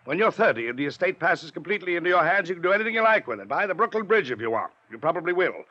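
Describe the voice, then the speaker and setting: An actor playing a pompous, domineering wealthy older uncle in a 1950s radio drama. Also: male voice, high voice, big pitch swings, racing, pushed and bright, heavily acted. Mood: stern, angry. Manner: pompous, clipped, rises to angry lecturing. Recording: dull lo-fi 1950s radio broadcast.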